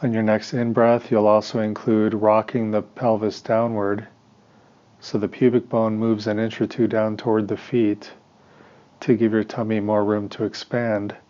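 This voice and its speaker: Low-voiced man guiding meditation, muffled recording. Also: dark tone. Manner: measured, instructional, with pauses between short phrases. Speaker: meditation teacher. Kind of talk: guided meditation instruction.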